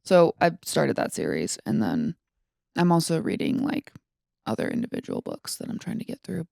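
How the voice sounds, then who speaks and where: A woman's voice: a bass voice, huge pitch swings, moderate pace, vocal fry, darkish tone, no echo, clean studio sound. A woman in her twenties chatting casually about books as a podcast co-host, in an informal way peppered with "like". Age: 20s.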